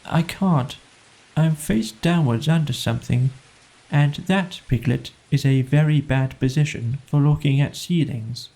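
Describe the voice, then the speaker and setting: Man playing a gentle, slow-witted storybook bear. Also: fairly high pitch, vocal fry, low-quality recording. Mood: mild, puzzled. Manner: mild, musing, hesitant.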